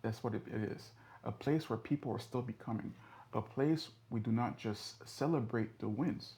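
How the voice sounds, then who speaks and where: A man in his thirties hosting his own podcast, talking in an earnest, measured way about his life, with the odd filler. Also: medium pitch, warm tone. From born in the US, raised in Europe. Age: 30s. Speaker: podcast host. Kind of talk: reflective solo podcast monologue.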